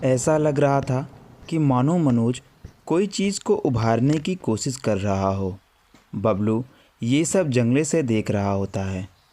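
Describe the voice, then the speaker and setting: A man's voice: medium pitch, animated, takes it slowly, dark tone. A man reading a scripted horror story as its narrator.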